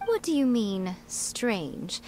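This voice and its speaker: A woman playing a poised, playful, sly lady in a video game, speaking in a measured, teasing way. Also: mid-range voice, big pitch swings, background noise. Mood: teasing, confident.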